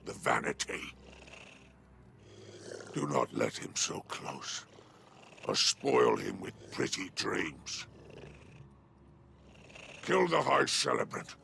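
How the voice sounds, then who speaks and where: A possessive alien crime lord in a video game, purring with menace. The growl is deeply creaky and drawn out very slowly. It swoops theatrically from silky condescension to threat. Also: male voice, wildly swooping pitch, pushed and bright, a little hiss or hum, heavily acted. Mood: menacing, possessive. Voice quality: gravelly, growling. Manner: theatrical, slow, silkily condescending. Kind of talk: dramatic video game cutscene acting.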